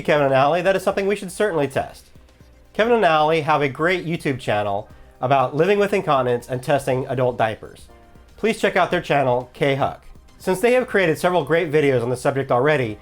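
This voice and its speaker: A man reading scripted instructional narration for a product review in a measured, explanatory manner. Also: on the high side. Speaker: host of a product review channel.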